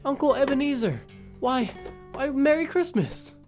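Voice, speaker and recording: A man playing a hearty, welcoming young gentleman, a nephew, in an audio drama. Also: very high voice, expressive, normal speed, soft background music. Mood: delighted. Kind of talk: theatrical audio drama acting.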